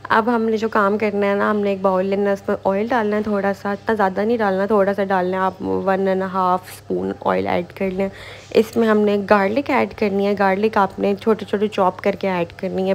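A woman's voice: medium pitch, gravelly. A woman giving a casual step-by-step recipe voice-over as a home cooking host, informal and repetitive, mixing in English kitchen words.

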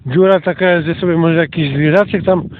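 A man's voice: a little high, fairly even intonation, brisk. A man rambling casually as he hosts a walk through the countryside, recorded outdoors on a phone. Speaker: host of a homemade audio walk recording. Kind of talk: casual rambling commentary while walking. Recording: tinny phone-quality recording with outdoor noise.

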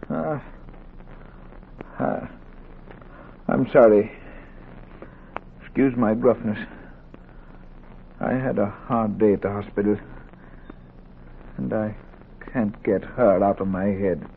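An actor playing a gruff, grieving, weary middle-aged doctor in a 1940s radio drama, with a gravelly, husky voice. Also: male voice, lowish voice, fairly even intonation, slow pace, pauses often, a little hiss or hum. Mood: weary, amused. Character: gruff, grieving older Southern doctor and surgery teacher. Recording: tinny old 1940s radio recording.

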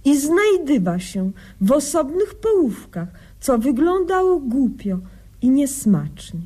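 A woman's voice: sits high, sing-song, slow, dark tone, heavily acted. A woman playing an earnest young doctor in a comic radio sketch, speaking in solemn, stilted, pompous phrases. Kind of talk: comic radio sketch acting, mock-literary narration.

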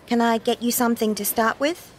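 A woman's voice: fairly high pitch, fairly even intonation, a little fast, slight background noise, natural voice. A woman reading the part of a polite, apologetic waitress in a coursebook dialogue.

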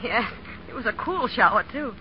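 An actress playing a spoiled young heiress, proper and indignant, in 1930s radio drama style. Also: female voice, on the high side, very animated, normal speed, slight background noise. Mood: guarded. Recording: muffled 1930s radio broadcast.

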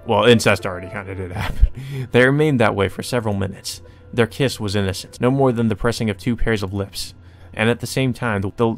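A man hosting a let's play, reading a visual novel aloud and reacting casually. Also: fairly low pitch.

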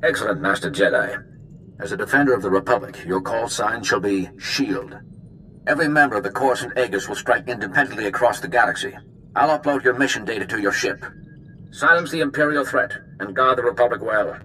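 A man playing a formal, assured military officer in a video game, speaking with crisp ceremony. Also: fairly low pitch, a little fast, sharp tone, a little hiss or hum, rough recording.

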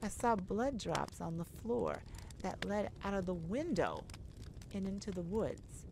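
A woman's voice: mid-range voice, big pitch swings, measured pace, creaky, noisy background. A woman playing a nervous, caring mage who speaks hesitantly and tenderly in an ASMR audio roleplay. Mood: concerned, gently teasing. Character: nervous, caring young mage rescuing a friend.

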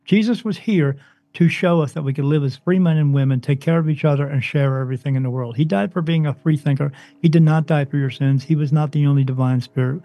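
A man from New Jersey, a spirit medium interviewed as a guest, talking emphatically and insistently, his voice soothing and deep. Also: fast, dark tone, dry recording. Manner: emphatic, insistent, swings up in pitch when stressing points. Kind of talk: interview, impassioned preaching monologue.

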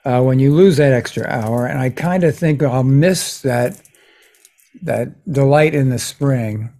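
A man's voice: mid-range voice, measured pace, warm tone. A man, an improv performer, chatting casually and jokingly.

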